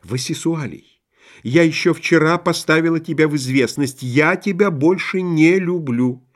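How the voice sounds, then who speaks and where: A man's voice: fairly high pitch, unhurried, close to an everyday voice. Man voicing a cool, curt, exasperated wife. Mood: cool, firm. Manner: flat, dismissive, matter-of-fact.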